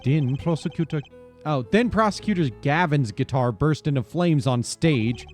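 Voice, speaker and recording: A man voicing a suave young male rock-star prosecutor with a smooth, theatrical delivery. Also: on the high side, normal speed, soft background music, natural voice. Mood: smug.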